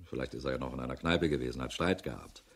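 An actor playing a businesslike male police investigator in a radio crime drama, reporting in a matter-of-fact way with a husky voice. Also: a bass voice, fast talker, full character voice. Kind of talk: German radio drama acting.

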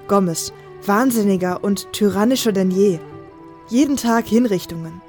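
A woman playing a self-assured, affectionate adventurer in a fantasy audio drama, speaking softly and intimately. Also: medium pitch, natural voice. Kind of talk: fan audio drama acting.

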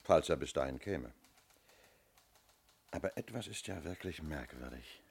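Middle aged cop inspector in a radio play, really deep, varied melody, sceptical short probing questions. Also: male voice, lively intonation, a little slow, gravelly, slight background noise, heavily acted. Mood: businesslike. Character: methodical, sceptical male British police inspector, middle-aged. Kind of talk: German radio play acting.

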